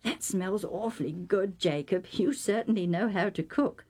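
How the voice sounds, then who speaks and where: A woman's voice: medium pitch, normal speed, darkish tone, breathy edge, lightly acted. A woman storyteller voicing a doting, shrewd mother with a fond, chatty, persuasive way of talking. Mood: bright, assured. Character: doting, shrewd mother of grown twin sons.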